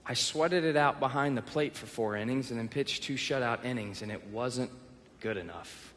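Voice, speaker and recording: Texan pastor in an echoing hall. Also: male voice, medium pitch, normal speed, a bit bright, a little hiss or hum. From Texas. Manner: personal storytelling with self-deprecating humor. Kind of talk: sermon. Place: church auditorium. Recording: long reverb of a large hall.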